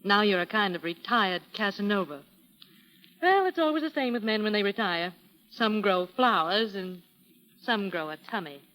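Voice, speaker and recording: A woman's voice: mid-range voice, expressive, fairly bright tone, lightly acted. Woman playing a coy, sly showgirl, lilting and reverberant. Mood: mocking, shrewd. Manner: coy, teasing, drawn-out phrases. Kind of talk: 1940s radio acting. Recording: reverberant 1940s radio broadcast.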